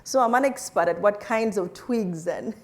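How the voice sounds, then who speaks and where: A woman's voice: mid-range voice, takes it slowly, a little mellow. A woman from Uganda, a scientist, telling her life story in an interview, with frequent laughs and many restarts. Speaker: plant scientist guest.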